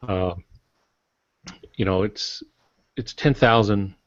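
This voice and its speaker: Low-voiced man in a roomy, dull recording. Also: takes it slowly. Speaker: author, podcast guest or panelist. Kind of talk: casual explanatory podcast talk. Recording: dull, roomy podcast audio.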